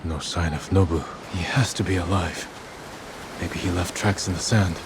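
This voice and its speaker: A very deep-voiced samurai for a game cutscene. He is stoic and protective, speaking with solemn restraint. Clear background noise sits behind him. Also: steady tone. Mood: somber. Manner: measured, solemn. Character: stoic, grieving samurai warrior, grown man, protective. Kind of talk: video game cutscene acting.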